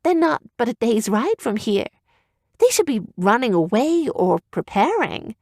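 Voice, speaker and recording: Woman voicing a fiery young heroine, much higher voice, urgent, defiant, swooping. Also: very animated, measured pace, a little mellow, no echo, studio quality, full character voice.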